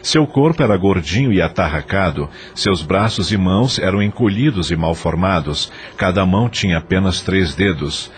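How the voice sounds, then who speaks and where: A man's voice: sits low, animated, normal speed, few pauses. A man reading scripted narration for a dramatized audio novel.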